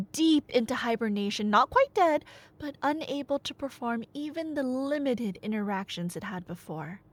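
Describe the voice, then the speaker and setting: A woman playing a nerdy girlfriend who tells myths in a casual, rambling ASMR roleplay style, with wry, hesitant asides. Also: fairly high pitch. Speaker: ASMR audio roleplay performer.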